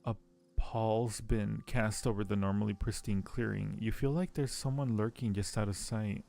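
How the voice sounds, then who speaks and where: A man's voice: fairly low pitch, steady tone, normal speed, vocal fry, dark tone, soft background music. A man streaming a game casually, thinking aloud as he plays and reading on-screen text to his viewers. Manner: thinks aloud while playing. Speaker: streamer playing a point-and-click game.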